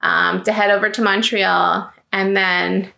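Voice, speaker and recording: Female interview guest, thirties, Greek-speaking upbringing, bright and slightly gravelly, recorded in a roomy space, talkative with lots of filler words. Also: medium pitch, level tone, unhurried. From South Florida. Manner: chatty, many ums and you-knows, laughs easily. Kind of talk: casual life-story interview.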